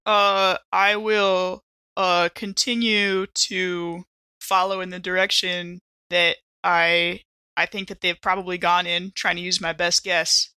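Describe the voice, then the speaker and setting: Bright, clear-voiced woman playing a tabletop game. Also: fairly low pitch, level tone, takes it slowly, no room sound. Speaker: player in a tabletop RPG. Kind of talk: casual table chat.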